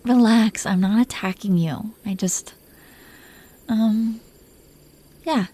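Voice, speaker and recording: Mellow, teasing dragon queen played by a woman. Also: mid-range voice, slow. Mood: teasing, affectionate. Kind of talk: intimate romantic roleplay audio.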